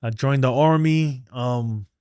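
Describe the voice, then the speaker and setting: A man's voice: medium pitch, takes it slowly, darkish tone, smooth, no room sound. A man chats bluntly on a livestream, reading viewers' messages aloud. His voice sits mid-range and sounds close and dry. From New York City. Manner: streetwise, blunt, reads chat aloud. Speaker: livestream host. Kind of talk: casual livestream chat. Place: home in Texas.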